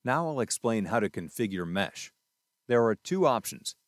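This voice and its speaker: Low-voiced man presenting a tutorial. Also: big pitch swings, normal speed, no room sound, studio quality. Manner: methodical, instructional. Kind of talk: scripted step-by-step tutorial narration.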